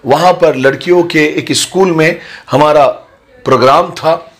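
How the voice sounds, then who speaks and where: A hoarse-voiced man telling a religious story to his online viewers, greeting them and speaking slowly and deliberately. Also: a little high, fairly bright tone. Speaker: Islamic storyteller and preacher.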